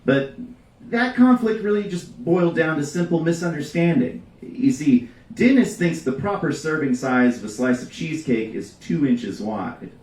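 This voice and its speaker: A man reading a comedic story aloud to a live audience with a deadpan, deliberate delivery. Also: fairly high pitch. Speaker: reader at a live storytelling show. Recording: roomy live venue, distant mic.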